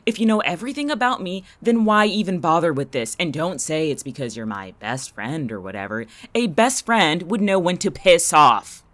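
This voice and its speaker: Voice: medium pitch, sing-song, normal speed, few pauses, fairly bright tone. An actor in a fiction podcast playing a guarded, irritable homebody who is curt, sarcastic and exasperated.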